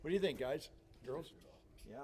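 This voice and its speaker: A man's voice: a little high, moderate pace, a little mellow, slightly rough. A man co-hosting in casual chat, enthusiastic and given to repeating his words. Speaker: co-host.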